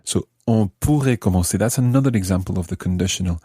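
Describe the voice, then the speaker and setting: A man hosting a language lesson, explaining phrases in a measured way and repeating them for learners. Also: low-pitched, mellow, slightly rough, no room sound, clean studio sound. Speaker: language teacher and podcast host. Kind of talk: lesson explanation, switching between English and French.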